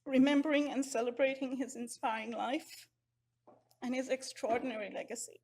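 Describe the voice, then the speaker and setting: A woman from Sri Lanka speaks a grieving tribute at a memorial. Her voice is high and breathy-husky. She delivers it with heartfelt sorrow. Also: fairly even intonation, moderate pace, darkish tone. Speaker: eulogy speaker. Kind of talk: memorial eulogy.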